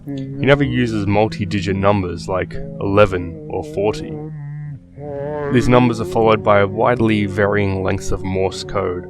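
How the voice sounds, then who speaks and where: A man with a low voice narrates a scripted horror story. He explains things in a measured way. Plucked guitar music plays clearly behind him.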